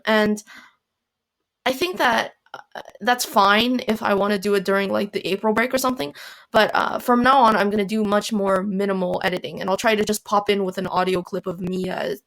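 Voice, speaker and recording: A teenage girl talks alone into a home mic for her podcast. Her voice is hoarse and raspy, sitting at an ordinary mid pitch, and she rambles along with fillers, restarts and self-deprecating asides. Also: fairly bright tone. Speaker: podcast host. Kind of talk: casual solo podcast monologue. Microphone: home recording mic.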